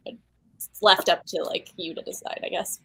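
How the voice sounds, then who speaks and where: A young woman co-hosting a live book chat, animated and quick to laugh. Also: medium pitch, gravelly, breathy edge, poor audio. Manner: animated, laughs easily, swings up and down. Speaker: book club co-host. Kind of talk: casual live book discussion.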